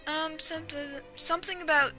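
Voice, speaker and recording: A woman giving casual playthrough commentary, thinking aloud haltingly over game music. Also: high voice, fairly even intonation, sharp tone. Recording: game music faintly behind the voice.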